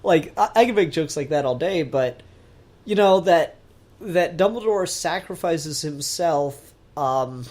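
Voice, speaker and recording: A podcast co-host talking casually about pop culture, informally and with swearing, putting animated emphasis on points. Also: medium pitch, measured pace.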